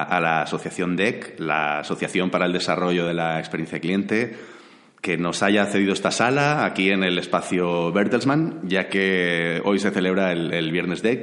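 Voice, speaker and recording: A man with an accent from Spain hosts a conversational podcast interview, his voice slightly hoarse from a cold. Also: deep voice, a bit bright. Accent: Spanish, from Spain. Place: large borrowed event room, indoors. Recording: echoey, chapel-like reverberant hall.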